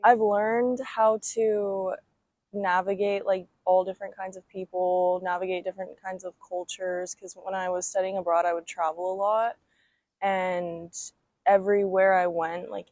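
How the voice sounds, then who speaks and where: A woman's voice: on the low side, darkish tone, no room sound. A young American woman from Los Angeles, a college student casually answering interview questions and using "like" often. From United States. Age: young adult. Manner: casual, many "like" fillers.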